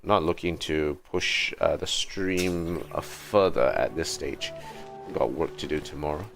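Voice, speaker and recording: Low male voice, slow pace, halting and musing, casual game stream commentary, music behind. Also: vocal fry, a bit bright. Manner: musing aloud, hesitant, halting. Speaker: livestream host playing a game. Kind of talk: casual gaming livestream commentary. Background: video game music.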